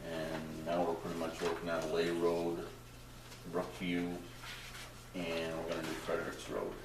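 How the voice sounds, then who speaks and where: Brief: very deep man reporting on road work, matter-of-fact, recorded from across a room. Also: level tone, a little slow. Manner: plain-spoken, matter-of-fact. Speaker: highway superintendent giving a report. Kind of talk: casual meeting report. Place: town hall meeting room. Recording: echoey room from far off, noisy.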